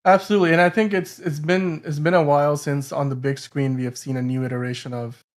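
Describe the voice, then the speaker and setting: A man hosting a podcast, discussing movie news casually in a halting, rambling way with self-corrections. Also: fairly high pitch, fairly even intonation. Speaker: podcast host.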